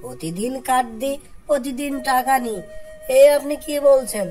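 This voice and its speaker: A woman's voice: sits high, lively intonation, normal speed, a little mellow, faint music behind. Woman voicing a plaintive, simple woodcutter. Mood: pleading. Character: simple, timid, gullible poor woodcutter husband. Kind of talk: cartoon folk tale acting.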